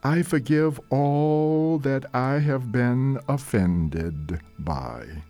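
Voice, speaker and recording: A man leading a guided meditation in a gentle, deliberate, measured way, leaving long pauses between short phrases. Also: mid-range voice, animated. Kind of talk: slow guided meditation narration. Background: soft meditation music.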